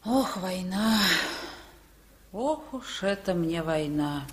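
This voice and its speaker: An actress playing a kindly, down-to-earth night cashier and former partisan in a 1960s Soviet radio drama, homely and reassuring. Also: female voice, on the low side, lively intonation, takes it slowly. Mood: rueful, matter-of-fact.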